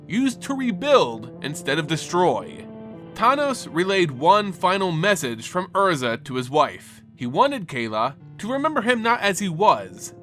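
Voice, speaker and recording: Guy doing dramatic fantasy story narration, bright forceful voice, solemn and theatrical, bit of music under it. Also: fairly high pitch. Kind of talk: dramatic reading of fantasy lore.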